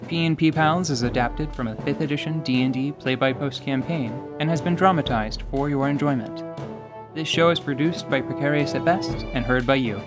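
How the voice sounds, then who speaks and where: A man's voice: mid-range voice, normal speed, music in the background. A man reading scripted dramatic narration for a fantasy tabletop story told as radio theatre.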